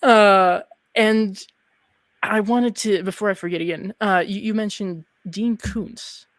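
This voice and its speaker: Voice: medium pitch, steady tone, slow, no room sound. Chatty livestream host, close dry sound. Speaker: host interviewer of a horror livestream. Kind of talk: casual livestream interview chat.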